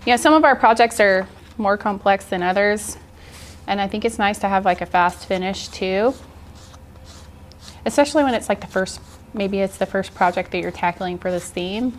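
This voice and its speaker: A woman's voice: medium pitch, moderate pace, a little hiss or hum. A woman in her forties giving an informal, encouraging art journaling tutorial in a casual style. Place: indoor art studio, seated at work table.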